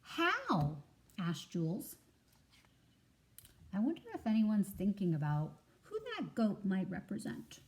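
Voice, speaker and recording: A woman teacher reading a children's story aloud, enunciating expressively and pausing to prompt listeners with questions. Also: medium pitch, expressive, dark tone.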